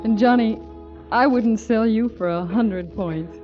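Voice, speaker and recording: A woman voices a devoted young bride who answers back with pert, loyal retorts. Her voice sounds high and unhurried. It plays through a dull 1940s broadcast with loud orchestra music behind. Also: natural voice. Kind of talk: 1940s radio comedy acting and singing.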